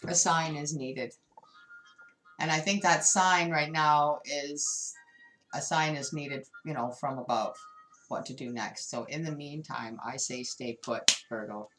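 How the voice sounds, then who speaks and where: A woman with a deep, low voice works through a tarot spread, speaking directly to her viewer. She talks emphatically and repeats herself as she goes. Faint music plays in the background. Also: unhurried, a bit bright, low-quality recording. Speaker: tarot reader. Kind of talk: tarot card reading monologue.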